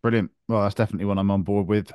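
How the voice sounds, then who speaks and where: Smooth-voiced male podcast host. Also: lowish voice, fairly even intonation, a little mellow, no echo. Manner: brisk, encouraging, moves the talk along. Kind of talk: casual podcast chat.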